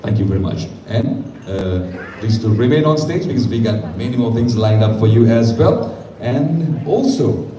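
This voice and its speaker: A man's voice: mid-range voice, animated, moderate pace, warm tone. A man working as master of ceremonies at a celebration, formally announcing guests and presentations. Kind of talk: event emceeing. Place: large event venue. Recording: echoey hall, distant mic, crowd noise.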